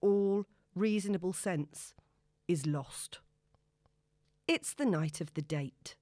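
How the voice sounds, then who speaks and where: A woman audiobook narrator reading a novel in an expressive, dramatic storytelling manner. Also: on the low side, slow pace, no room sound, clean studio sound.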